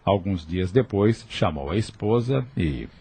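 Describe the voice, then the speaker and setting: A man's voice: low-pitched, animated, unhurried, creaky, dark tone, slightly rough. A Brazilian man narrating an audio novel in a scripted storytelling style.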